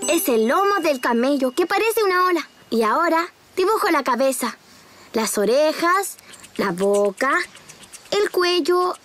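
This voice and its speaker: A woman's voice: sits high, expressive, unhurried, a bit bright. A woman voicing an eager young boy who explains how to draw animals step by step in a children's cartoon. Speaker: voice actor. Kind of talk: Spanish-dubbed children's cartoon. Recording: music behind.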